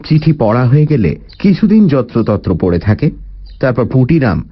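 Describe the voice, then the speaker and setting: A man giving an expressive scripted narration of a detective story. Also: mid-range voice, moderate pace, breathy edge, highs cut off. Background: background music.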